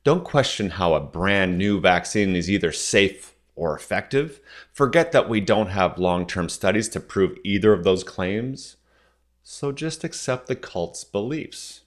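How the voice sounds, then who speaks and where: A man in his forties from Southern Ontario, a native French speaker, hosting a podcast and delivering a measured, questioning, persuasive solo monologue. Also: deep voice, animated. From Southern Ontario, Canada. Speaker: podcast host.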